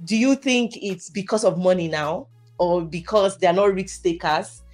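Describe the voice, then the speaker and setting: A woman's voice: lowish voice, normal speed, darkish tone, soft background music. A woman hosts a conversational debate, explaining her points insistently. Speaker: host.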